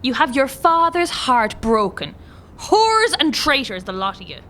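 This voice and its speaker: A woman's voice: high-pitched, sing-song, a little slow, background noise. An actress with an Irish accent playing an indignant, scolding woman full of contempt in an audio drama. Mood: scornful. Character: indignant, scornful Dublin woman hostile to rebels.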